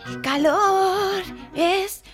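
A woman's voice: very high-pitched, very slow, over music, heavily acted. An actress playing a chatty, self-important young woman who dreams of pop stardom, gushing and colloquial, in an audio comedy. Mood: excited, evasive. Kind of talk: audio drama comedy acting.

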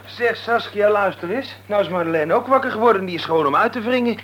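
A man's voice: sits high, fast talker, muffled recording, natural voice. An actor in a radio drama playing a flustered, helpless man who chats casually with stammering bluster. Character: flustered, chatty young man, helpless at domestic chores. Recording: noisy old radio recording with hum.

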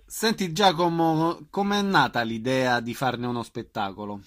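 A man's voice: mid-range voice, steady tone, unhurried, a bit bright. A man hosting a radio programme about theatre, interviewing guests in a conversational way.

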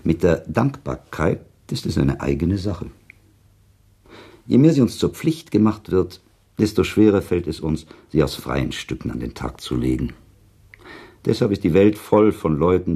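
A worldly sceptic in a literary radio drama. His extremely deep voice is gravelly and husky, and he argues with deliberate, cool irony. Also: darkish tone, exaggerated voice. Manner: deliberate, argumentative, ironic. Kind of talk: German radio play, literary dialogue.

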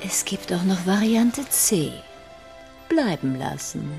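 A spy's sensual lover in a crime radio drama. She speaks very slowly, with a widely moving pitch, intimate and probing over clearly audible music. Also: very animated, darkish tone. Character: sensual, questioning female lover of a spy. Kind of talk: German crime radio play acting.

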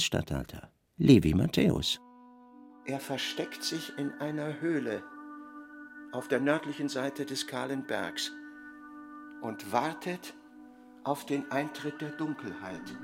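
A man voicing a deferential, composed secret-service commander in a radio drama, reporting in a measured, factual way. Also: medium pitch, gravelly.

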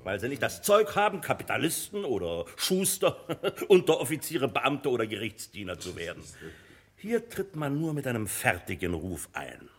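A bossy cynical book publisher in a radio play, voice jumps all over, bit rough, talks down to everyone. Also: male voice, mid-range voice, wildly swooping pitch, normal speed, heavily acted. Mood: cynical, grandiose. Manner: condescending, blustering. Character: imperious, cynical, boastful male publisher and bookseller. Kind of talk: German radio drama acting.

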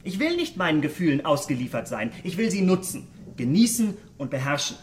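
A man's voice: fairly high pitch, sing-song, fairly quick, slight background noise. Theatrical, self-absorbed young man. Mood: defiant. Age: young adult. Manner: theatrical, eloquent, declamatory. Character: vain, callous young aesthete, self-absorbed and passionate. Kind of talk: German radio drama acting.

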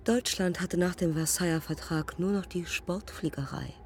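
Low-voiced, clipped woman aviator played by an actress. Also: steady tone, moderate pace, slight background noise, natural voice. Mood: proud. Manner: clipped, terse confessional fragments. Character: heroic, self-doubting young woman aviator who took her own life. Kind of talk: poetic German radio play acting.

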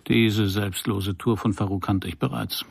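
An actor playing a world-weary private detective, narrating a noir radio drama in a dry, laconic way. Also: male voice, on the low side, fairly even intonation, measured pace, vocal fry, husky, heavily acted. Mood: wry, pensive.

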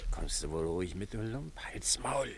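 An actor playing a coarse, menacing male gangster in a radio play, talking gruff slang. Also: on the low side, very animated, normal speed, a little hiss or hum. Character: coarse, threatening male gangster disguised as an old lady. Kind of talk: German radio play acting.

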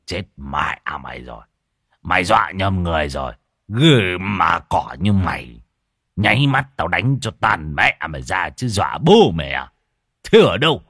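A man's voice: deep voice, big pitch swings, moderate pace, a bit bright, husky, no echo, clean studio sound, voice pushed down, heavily acted. A man doing a swaggering crude old bruiser. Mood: boastful threatening. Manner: crude, threatening. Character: swaggering rough-talking older man, boastful.

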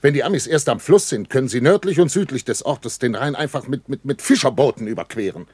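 An actor playing a worried, dutiful German army captain in a 1960s radio play, earnest and formal with superiors. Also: male voice, mid-range voice, animated, fairly quick, a little mellow, slightly rough, dry recording. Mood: urgent. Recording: dry early 1960s radio studio.

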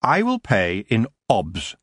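A man voicing a clever young girl witch who speaks crisply and firmly, with a husky voice. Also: fairly low pitch, wildly swooping pitch, slow, a bit bright, dry recording, clean studio sound, exaggerated voice. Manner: crisp, sweetly firm.

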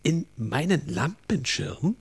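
A man's voice: on the high side, sing-song, gravelly, husky, full character voice. Exasperated father with swooping intonation. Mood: incredulous. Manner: stern, halting, incredulous. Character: exasperated, baffled father scolding his young son. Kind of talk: children's radio play acting.

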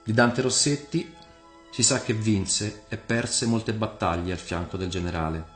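Low-voiced man narrating, echoey. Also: fairly even intonation, measured pace, fairly bright tone, soft background music. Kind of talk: scripted dramatic radio storytelling.

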